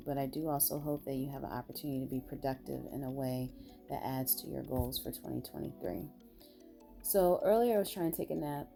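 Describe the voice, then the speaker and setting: A woman vlogger with a raspy voice, sounding tired, talking in a rambling, blunt and emphatic way. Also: very deep voice, dark tone. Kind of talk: casual opinion monologue.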